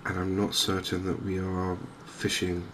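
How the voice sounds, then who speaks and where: A man softly narrating a game in ASMR style, hushed and hesitantly thinking aloud. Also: low voice, completely flat delivery, a little slow. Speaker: gaming video host. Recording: noticeable background ambience noise. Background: game ambience.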